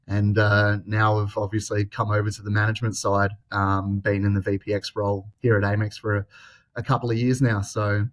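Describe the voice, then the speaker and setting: A man in his thirties with an Australian accent, a mining company's exploration vice president, giving an investor interview in a relaxed, friendly way with filler words. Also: fairly low pitch, brisk. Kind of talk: investor interview, casual explanation.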